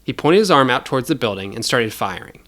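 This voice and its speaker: A man's voice: mid-range voice, big pitch swings, quick pace, a bit bright. A man narrating scripted fiction as a storyteller, in a dramatic style.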